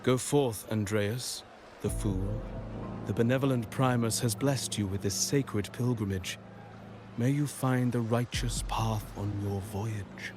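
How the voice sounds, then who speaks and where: A man's voice: fairly low pitch, music in the background, natural voice. A man voicing a solemn pilgrim preacher. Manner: sermonizing, measured.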